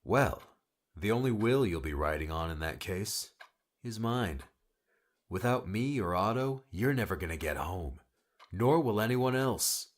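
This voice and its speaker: A man voicing a weary, brusque rescuer with a secret caring side and a husky voice, in a dramatic audiobook. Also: on the low side, expressive, measured pace, pitched above the performer's own voice. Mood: sardonic, stern. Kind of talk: dramatic audiobook character dialogue.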